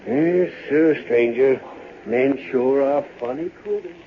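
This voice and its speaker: An actor playing a gossipy, shrewd frontier saloon fellow with a rustic American drawl, wry and talkative, in old radio-western style. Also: male voice, medium pitch, slow, close to an everyday voice. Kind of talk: 1930s-40s radio western acting. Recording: muffled, hissy old-time radio recording.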